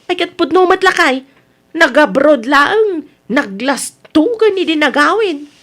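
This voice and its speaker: A woman playing an aggrieved, complaining Ilocano wife and mother in a radio comedy drama, with an Ilocano Filipino accent and an exasperated, expressive manner. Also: high voice, a little slow. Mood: gossipy, defensive.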